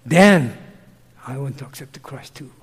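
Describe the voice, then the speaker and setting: Slow-talking Korean-accented man. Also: mid-range voice, breathy edge, in a large hall. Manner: broken English, slow and earnest, gets loud when animated. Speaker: missionary guest. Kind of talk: interview answers, personal stories.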